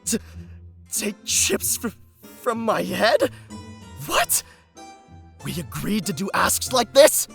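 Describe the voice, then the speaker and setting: A man voicing a brash, excitable young troublemaker in an animated series, with loud, drawn-out exclamations. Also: high-pitched, creaky, soft background music, full character voice. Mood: indignant. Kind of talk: animated web series voice acting.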